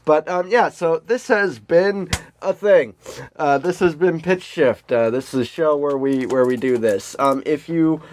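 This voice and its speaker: A man's voice: fairly high pitch, measured pace. A man co-hosting a casual comedy podcast, talking in a rambling, self-deprecating way. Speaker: podcast co-host.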